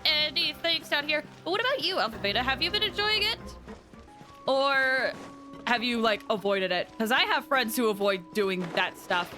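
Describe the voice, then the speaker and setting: A woman's voice: high voice, lively intonation, moderate pace, pushed and bright, faint music behind. A woman livestreaming a game, chatting casually and informally with viewers in a rambling way. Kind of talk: casual chat with viewers while gaming. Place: livestream voice with game music behind.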